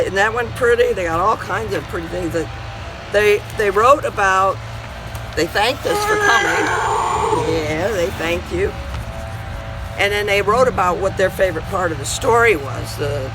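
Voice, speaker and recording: A man's voice: high voice, big pitch swings, measured pace, over loud music, low-quality recording. A man hosting a storytime for young children, chatting and reading aloud in a folksy way. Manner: folksy, repeats questions, talks aloud to companion. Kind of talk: casual chat to young children and picture-book read-aloud.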